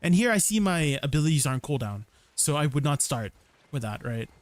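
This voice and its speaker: Young male streamer, fairly high voice, chatty, explains as he plays, keeps asking "right?". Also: very animated, brisk, no room sound. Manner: explanatory, tags sentences with "right?"; chatty, answers viewers' questions. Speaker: game streamer. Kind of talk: casual livestream commentary while playing.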